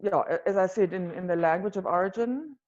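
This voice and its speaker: A woman's voice: mid-range voice, brisk, darkish tone, lo-fi sound. A woman from South Africa whose first language is Afrikaans, answering interview questions hesitantly with many fillers and restarts. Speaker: wine tour guide and business owner.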